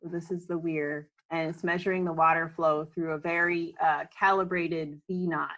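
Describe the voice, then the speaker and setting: A woman reading a children's book aloud at home in an unhurried way, explaining things in casual asides as she goes. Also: low voice, flat delivery, a bit bright, dull audio.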